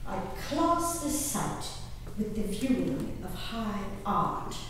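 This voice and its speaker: A woman performing a genteel, impassioned colonial-era lady diarist on stage, declaiming her journal with deliberate dramatic pauses. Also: sits high, darkish tone. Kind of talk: theatrical dramatic reading of historical diaries. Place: live stage performance in a reverberant hall. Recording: very echoey hall from far off, noisy.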